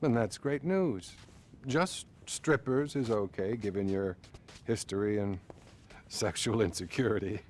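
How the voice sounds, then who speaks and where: An actor playing a patronizing male therapist, measured and placating, in a naturalistic video game style. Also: on the low side, a little mellow, a little hiss or hum, lightly acted.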